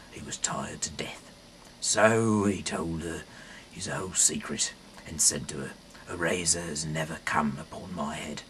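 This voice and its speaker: A man reading scripture aloud in a halting, rambling way, often correcting himself and dropping in casual asides. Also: a bass voice, bright, forceful tone, a little hiss or hum, poor audio. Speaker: amateur reader of a Bible audio series.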